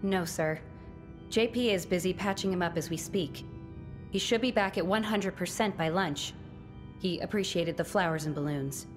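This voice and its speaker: A woman playing a dutiful underling in a video game, reporting in a formal, measured, deadpan way. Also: on the low side, music in the background, close to an everyday voice. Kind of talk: scripted video game dialogue.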